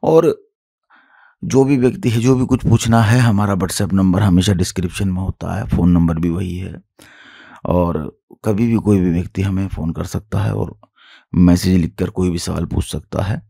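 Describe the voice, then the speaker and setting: A low-voiced man with a creaky, husky delivery addresses followers as a guru. He is emphatic, repeats himself and poses rhetorical questions. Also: steady tone, moderate pace, dark tone, no room sound, studio quality. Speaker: tantric guru. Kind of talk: spoken introduction and explanation to followers.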